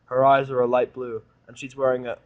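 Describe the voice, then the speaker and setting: A man's voice: medium pitch, level tone, a little fast, a little mellow, dull audio. A man narrating a first-person story as an amateur reader, in a wry, informal manner.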